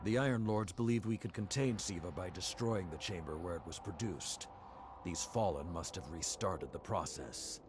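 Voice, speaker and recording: Man voicing a very deep, grave warrior lord. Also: normal speed, noisy background, close to an everyday voice. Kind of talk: video game voice acting.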